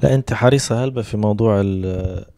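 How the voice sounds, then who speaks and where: A man in his thirties with a Libyan Arabic accent hosts a podcast, talking in a relaxed, storytelling way. His voice sits low and mellow. He speaks close to a handheld mic in a dry, dead-sounding room. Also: vocal fry, dry recording. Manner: relaxed storytelling with quick asides. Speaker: podcast host. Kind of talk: casual conversation. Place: small home room with soft lighting. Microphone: handheld mic with headphones.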